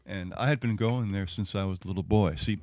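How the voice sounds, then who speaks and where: A middle-aged or older man, a musician, chatting casually to an online audience in a halting, rambling, reminiscing way. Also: deep voice, darkish tone, no room sound. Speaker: singer and musician hosting a livestreamed set. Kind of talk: casual between-song chat to an online audience.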